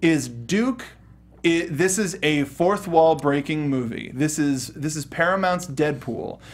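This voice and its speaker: A man's voice: fairly high pitch, unhurried. A man hosting a panel discussion on entertainment news, riffing in a casual way and swearing now and then. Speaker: talk show host.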